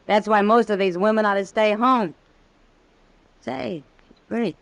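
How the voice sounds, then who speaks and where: A woman's voice: medium pitch, unhurried, gravelly, no echo, low-quality recording. An actress playing a worldly frontier saloon woman with a dry, sardonic manner, in 1950s radio western style. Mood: wry.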